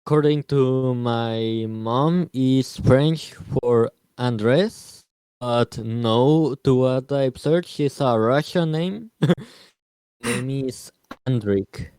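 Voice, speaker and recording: Slow, mellow Mexican-accented young man. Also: mid-range voice, smooth, dry recording. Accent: Mexican Spanish. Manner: halting, searching for words. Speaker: podcast co-host. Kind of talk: casual chat.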